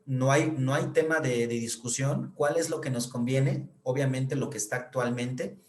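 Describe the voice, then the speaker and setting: A man's voice: mid-range voice, normal speed, darkish tone. Mexican man explaining in an echoey room. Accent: Mexican Spanish. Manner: explanatory, many fillers and tag questions. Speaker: accountant explaining pension reform. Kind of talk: explanatory lecture.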